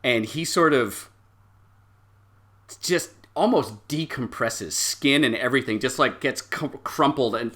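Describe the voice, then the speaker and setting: A man narrates an improvised tabletop game as its game master. His voice is mid-pitched, bright and forceful, and he builds scenes vividly in halting pieces with many pauses.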